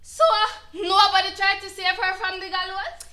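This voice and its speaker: A woman's voice: very high voice, flat delivery, normal speed, bright, forceful tone. A woman playing a troubled young mother seeking protection, speaking simply in an educational dramatization. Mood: questioning, concerned.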